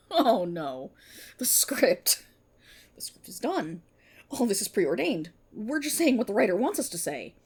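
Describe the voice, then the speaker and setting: A woman playing a sardonic, menacing, resentful police officer in an ASMR roleplay, with a breathy voice and a mocking, theatrical delivery. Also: high voice. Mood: panicked, angry.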